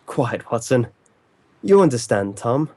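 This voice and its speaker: A commanding, calm and stern detective in a vintage radio play. His voice is mellow and dark, with formal, authoritative diction and a very dry, close sound. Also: medium pitch, a little slow, dry recording. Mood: commanding, deliberate. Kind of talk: old-time radio drama acting.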